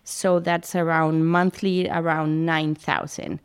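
Woman, middle-aged, low voice, halting chatty guest, many restarts. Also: flat delivery, clean tone. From Spain. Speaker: food pantry founder, guest. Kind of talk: casual interview talk.

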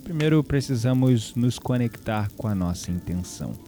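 A man playing a calm, mysterious ritual guide with a Brazilian accent in an audio drama, speaking instructively. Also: mid-range voice, normal speed, faint music behind, close to an everyday voice. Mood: calm, enigmatic.

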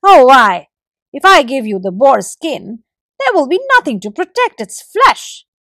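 A woman's voice: sits high, wildly swooping pitch, a little slow, sharp tone, dry recording, exaggerated voice. A woman voicing a proud, greedy male squirrel hunter in a children's story, teasing and wheedling. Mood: indignant.

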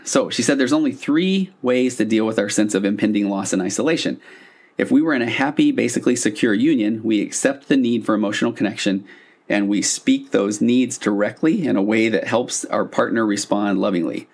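A middle-aged man with a fairly low voice talks as a podcast host and therapist. His delivery is informal and rambling, explaining ideas conversationally and slipping into illustrative examples. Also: brisk, a little mellow, breathy edge.

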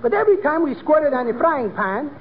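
An actor playing an excitable Greek restaurant owner with a Greek accent and fractured English, in 1940s radio comedy style. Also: male voice, very high-pitched, fast talker. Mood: earnest, comic. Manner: malapropisms, fractured grammar. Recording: muffled noisy old radio, studio audience.

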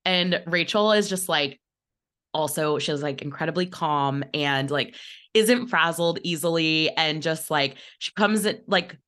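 A woman's voice: sits low, unhurried, a bit bright. A bubbly, effusive woman chatting casually on a podcast, sounding a little under the weather with a cold. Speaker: podcast team member, operations manager. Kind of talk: casual behind-the-scenes podcast chat.

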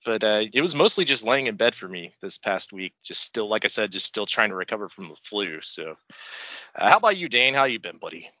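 A laid-back man co-hosts a casual podcast, sounding congested from the flu and swearing freely. His voice is bright and forceful. It sounds dry and a little lo-fi. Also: lowish voice, very animated, a little fast, dry recording. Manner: laid-back, joking, swears a lot, laughs often. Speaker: podcast co-host.

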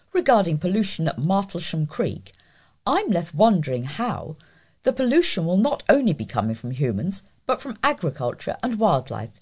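A woman reading local news and letters aloud as a volunteer, in a deliberate, scripted delivery. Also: lowish voice, mellow, slightly rough. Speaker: volunteer newspaper reader.

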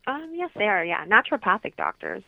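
A woman's voice: medium pitch, wildly swooping pitch, a little fast, vocal fry, no room sound. A woman explaining things informally as a guest in a radio interview, heard in dull lo-fi audio.

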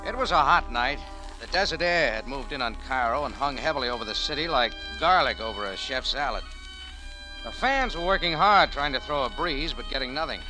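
An American actor playing a tough, world-weary cafe owner in 1940s radio style, with clipped, slangy wisecracks and guarded narration. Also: male voice, medium pitch, natural voice, low-quality recording. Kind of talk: 1940s radio acting with first-person narration. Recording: old 1940s radio recording.

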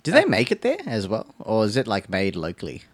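A man's voice: fairly low pitch, wildly swooping pitch, brisk. A man co-hosting a podcast, rambling casually with many "like"s and restarts. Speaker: podcast co-host.

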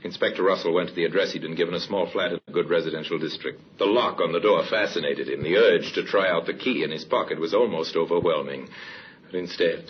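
A man performing a solemn crime-story narrator with a very low voice. He tells it in grave, measured phrases, as in 1950s radio drama. The recording is muffled, with a light hiss. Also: natural voice. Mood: grave, suspenseful.

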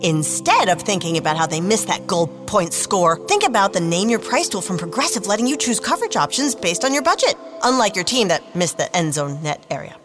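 A woman's voice: mid-range voice, big pitch swings, a little fast, hardly any pauses, over music. A woman spokeswoman in a comic radio commercial, perky and bubbly.

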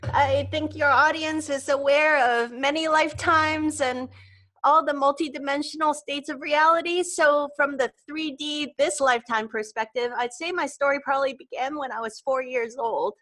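High-pitched woman as podcast guest. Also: steady tone, normal speed, fairly bright tone. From Hong Kong. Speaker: podcast guest, holistic medicine doctor and author. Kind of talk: conversational personal storytelling in an interview.

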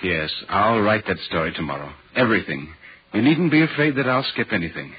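Earnest gentleman writer, markedly husky. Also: lowish voice, big pitch swings, exaggerated voice. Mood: concerned. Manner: measured, deliberate, literary phrasing. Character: earnest, concerned gentleman writer, narrating a ghost story. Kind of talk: 1940s radio drama acting and narration. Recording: muffled, worn 1940s radio recording.

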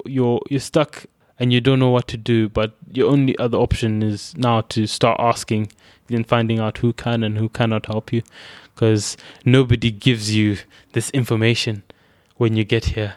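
A man's voice: medium pitch, smooth, dry recording. A young man from Zimbabwe with a Zimbabwean accent, thoughtfully recounting his own experiences and often restarting his phrases. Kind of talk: reflective personal account.

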